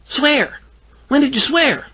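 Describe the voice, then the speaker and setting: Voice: sits high, sing-song, takes it slowly, bright, forceful tone, voice pushed up, exaggerated voice. A man voicing a cheerful, stubborn little girl with a chirpy, questioning, matter-of-fact manner in a story. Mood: puzzled, insistent.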